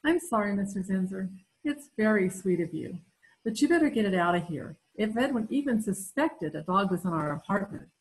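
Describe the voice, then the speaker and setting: Melodramatic wife female actor, animated and expressive, genteel but put-upon, for vintage radio comedy. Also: medium pitch, normal speed, lightly acted, rough recording. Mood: apologetic. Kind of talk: amateur old-time radio comedy recreation. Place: room at home, video call. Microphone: video call.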